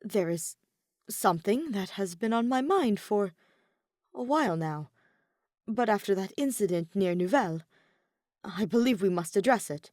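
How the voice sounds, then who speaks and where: A woman's voice: medium pitch, lively intonation, normal speed, pauses often, darkish tone, no echo, clean studio sound, lightly acted. A woman voicing an earnest, nervous young nobleman who speaks formally and insistently in a fan fiction audiobook. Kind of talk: audiobook-style fan fiction character reading.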